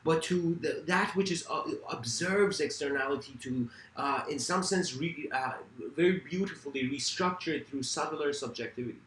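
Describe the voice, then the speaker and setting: A man's voice: fairly high pitch, moderate pace, hardly any pauses, fairly bright tone, roomy sound, slight background noise, rough recording. A man from Iran whose first language is Farsi, giving a reflective philosophical talk in a rambling, self-correcting way with many fillers. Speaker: speaker.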